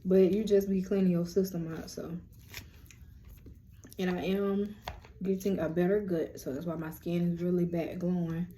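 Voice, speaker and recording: A young woman with a deep voice, hosting a rambling, casual lunchtime chat on her vlog. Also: flat delivery, normal speed, long pauses, mellow, a little hiss or hum. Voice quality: low, deep voice. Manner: chatty, rambling, self-described yapper. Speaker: vlog host.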